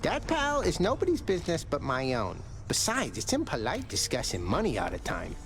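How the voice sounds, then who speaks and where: A man's voice: on the high side, wildly swooping pitch, moderate pace, faint music behind. A man voicing a cocky, wisecracking undead sparring dummy in a video game, brash and chummy. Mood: cheeky.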